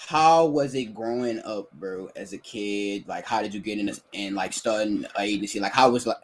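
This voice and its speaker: A man's voice: fairly low pitch, normal speed, low-quality recording. A man from New Orleans co-hosting a podcast, slangy and eager, chatting casually. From New Orleans, Louisiana. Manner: slangy, eager, lots of "bro" and "facts". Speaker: podcast co-host. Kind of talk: casual chat.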